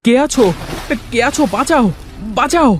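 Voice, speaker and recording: A man's voice: very high-pitched, normal speed, noisy background. A man voicing a poor, weary village basket weaver and father in a fairy-tale cartoon, lamenting and pleading as he speaks. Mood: despairing.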